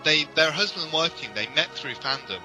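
A man's voice: on the high side, expressive, fast talker, bright, forceful tone, faint music behind, rough recording. A British man co-hosting a podcast, chatting casually in a blunt, dryly opinionated way. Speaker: podcast co-host. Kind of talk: casual fan discussion.

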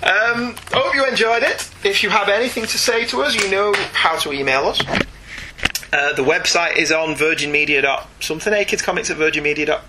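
A man's voice: high-pitched, fairly quick, background noise. A middle-aged British man chatting casually as a podcast host, with a wry, jokey, mock-exasperated way of talking. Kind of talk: casual comic book podcast chat.

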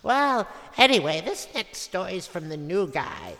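An actor playing a cackling, tipsy old horror host with a theatrical, drawn-out, campy delivery. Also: male voice, high voice, very animated, bright, forceful tone, full character voice. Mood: gleefully macabre. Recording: long cavernous reverb.

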